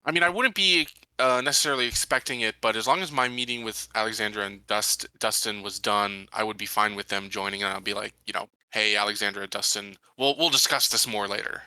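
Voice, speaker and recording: Very bright, forceful low-voiced man. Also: a little fast, hardly any pauses, clean tone, dry recording, low-quality recording. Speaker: tabletop game player. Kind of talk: in-game roleplay discussion.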